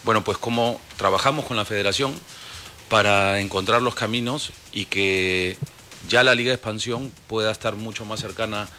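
A Peruvian man in his forties, a football club executive answering radio interview questions in a measured, explanatory way with many fillers. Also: lowish voice, sharp tone, a little hiss or hum. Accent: Peruvian Spanish. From Peru. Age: 40s.

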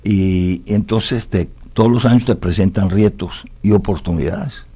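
Italian-born guy being interviewed, soft dark tone, kinda deep, reflective, keeps asking for agreement. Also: normal speed, slightly rough. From Italy. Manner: philosophical, often seeks agreement with tag questions. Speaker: interview guest, winemaker.

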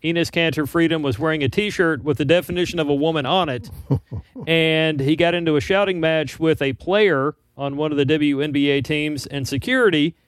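A man's voice: fairly high pitch, normal speed, dry recording. A man co-hosting a talk radio show, reading out news stories in a matter-of-fact way. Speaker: radio co-host presenting news.

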